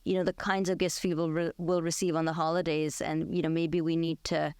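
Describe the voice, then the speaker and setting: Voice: mid-range voice, little pitch movement, fairly quick, clean tone, no echo. A radio host who leads a thoughtful, conversational discussion.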